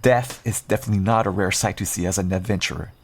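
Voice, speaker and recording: A man playing a calm, protective werewolf rogue in a fantasy roleplay audio drama, speaking in an earnest, measured way. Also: low voice, vocal fry, slightly rough, heavily acted. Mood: sober.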